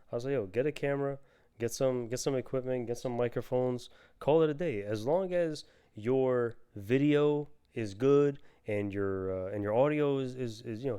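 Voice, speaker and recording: Young male podcast co-host, warm and smooth, loose rambling delivery. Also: mid-range voice. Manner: loose and rambling, laughs often. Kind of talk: casual chat.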